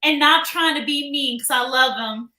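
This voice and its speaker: A woman's voice: high voice, normal speed, bright, forceful tone, echoey room. A young adult woman co-hosting a casual live-stream chat, teasing and chatty, laughing often. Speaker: co-host.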